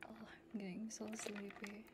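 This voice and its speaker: A woman streaming ASMR, chatting playfully in a soft, often whispered murmur close to a binaural microphone. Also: mid-range voice, little pitch movement, normal speed, heavy background noise. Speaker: ASMR streamer, VTuber host. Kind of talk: ASMR livestream, casual playful chat. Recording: loud crinkling, clicking ASMR prop noises. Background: clicks, crinkling and tapping of props.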